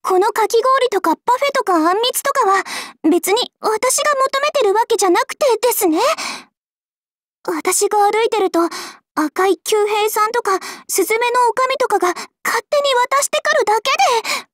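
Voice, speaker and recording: A woman's voice: very high voice, animated, normal speed, no room sound, studio quality. A woman voicing a flustered, cheeky little girl demon in an anime game, polite but defensive and teasing. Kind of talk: Japanese anime game character lines.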